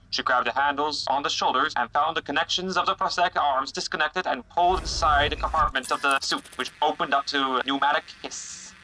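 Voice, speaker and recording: A man's voice: fairly high pitch, bright, forceful tone, slight background noise, low-quality recording. A man narrating a scripted audio drama.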